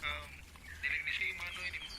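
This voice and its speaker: An actor plays a friendly provincial Filipino man with a Bisaya accent, casual in his radio drama talk. His voice sits a little high, over very loud outdoor ambience. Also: flat delivery, brisk, very noisy, lightly acted.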